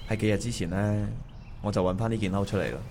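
A man's voice: fairly low pitch, fairly even intonation, quick pace, a little mellow, a little hiss or hum, natural voice. A man acting as a regretful, hesitant married man in a Cantonese radio drama, talking conversationally. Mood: wistful. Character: regretful, hesitant married man meeting his first love. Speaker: voice actor playing the male lead. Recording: music under the dialogue.

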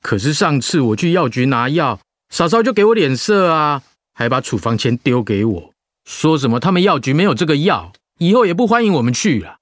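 Whiny, animated younger son. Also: male voice, fairly high pitch, no room sound, studio quality. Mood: whiny complaint. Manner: whiny, drawn-out interjections. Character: simple, grumbling younger son, put-upon. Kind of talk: radio drama acting.

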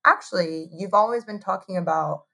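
A woman in her thirties from Taiwan tells her story as an interview guest. Her voice sits low and has a husky, breathy edge. She talks in a loose, chatty way, laughing often and leaning on "like". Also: normal speed, bright, forceful tone. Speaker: guest, hot sauce co-founder.

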